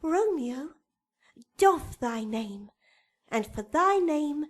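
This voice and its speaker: Young noblewoman in love, high-pitched, slow measured verse, Shakespearean radio play. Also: sing-song, full character voice. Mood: yearning. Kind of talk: Shakespearean radio drama acting.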